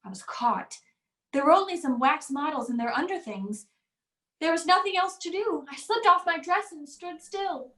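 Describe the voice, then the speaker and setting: A woman's voice: high-pitched, animated, moderate pace, a bit bright. An actress playing a timid, naive young servant woman in a radio drama, speaking with fearful, innocent earnestness. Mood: dreamy. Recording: roomy, echoing voice track.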